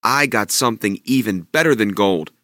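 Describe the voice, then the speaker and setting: A man's voice: fairly low pitch, animated, moderate pace, pushed and bright, no room sound, studio quality. A man voicing Jack, an eager and hopeful young boy, in a storybook reading.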